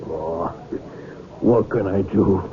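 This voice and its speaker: An actor playing a blunt, plainspoken, soft-hearted police officer in a 1970s radio drama. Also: male voice, low voice, slow pace. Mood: bemused, softening. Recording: tinny 1970s radio broadcast.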